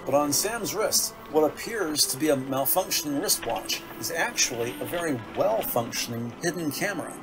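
A man's voice: a little high, expressive, normal speed, hardly any pauses, poor audio. A man reading scripted narration for a detective audio drama. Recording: music under the voice. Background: dramatic score music.

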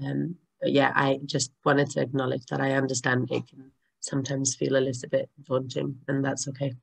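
A woman speaking on an online panel with a croaky voice, in a reassuring, thoughtful way. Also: a bass voice, little pitch movement, normal speed.

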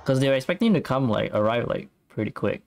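A man giving casual, musing gameplay commentary as a streamer, in a halting way. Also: medium pitch, expressive, gravelly, no echo. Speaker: game streamer.

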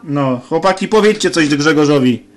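Higher-voiced man on CB radio. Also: lively intonation, normal speed, crowd noise. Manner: informal, slangy, repeats phrases. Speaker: amateur CB radio operator. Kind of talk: casual radio chat. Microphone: handheld camera near radio set. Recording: noisy handheld camera recording, radio clicks.

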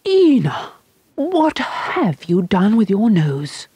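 A woman's voice: lowish voice, big pitch swings, normal speed, mellow, slightly rough, dry recording, pitched above the performer's own voice. A woman voicing an anxious mother who pleads and frets over her daughter. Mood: alarmed. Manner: fretful and pleading. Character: anxious, tearful mother of a young girl.

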